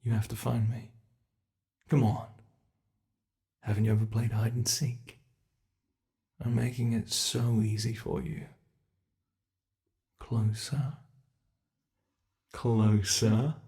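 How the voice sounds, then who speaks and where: A man voicing a gleeful, menacing, centuries-old monster under the bed in an ASMR horror roleplay, teasing in a whispery way with drawn-out words. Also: medium pitch, level tone, gravelly, warm tone. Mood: teasing, sinister.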